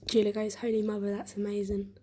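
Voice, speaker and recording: Quiet woman reading comments off-mic. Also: mid-range voice, completely flat delivery, fast talker, a little hiss or hum. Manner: quiet, off to the side. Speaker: helper reading out viewer comments.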